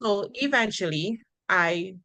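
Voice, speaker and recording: A woman hosting a casual interview and telling lively anecdotes, often checking in with "right?". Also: medium pitch, takes it slowly, dry recording. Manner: anecdotal storyteller, tags sentences with "right?". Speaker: Spanish teacher and interview host.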